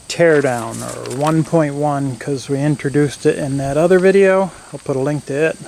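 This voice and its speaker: A man's voice: on the high side, darkish tone, slight background noise, poor audio. A man giving casual hands-on commentary as host of a workshop build video, plain-spoken and thinking aloud.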